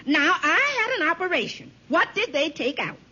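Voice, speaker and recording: An actress playing a forceful, indignant woman with an imperious, emphatic delivery in old-time radio sitcom style. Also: high-pitched, lively intonation, moderate pace. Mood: brisk, demanding. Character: forceful, indignant woman questioning a supposed husband. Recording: muffled vintage radio recording, audience, faint hiss.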